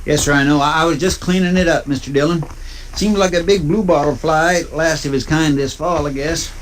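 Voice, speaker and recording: Man acting as deferential country American sidekick, rambling drawl, highish pitch, slight hiss and noise, vintage radio western. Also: steady tone, brisk, lightly acted. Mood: sheepish, chatty. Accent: rural American. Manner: hesitant, rambling, folksy drawl. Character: folksy, deferential, rambling male deputy sidekick. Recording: noisy old radio recording.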